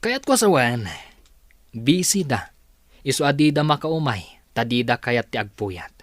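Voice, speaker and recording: A man's voice: mid-range voice, big pitch swings, measured pace. An actor playing a rich, demanding young male boss in an Ilocano radio comedy-drama. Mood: impatient.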